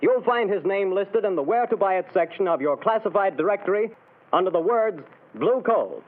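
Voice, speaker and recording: A man's voice: animated, normal speed, phone-quality sound. A male radio announcer giving an earnest, emphatic commercial read in a 1930s broadcast. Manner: earnest, emphatic sales pitch.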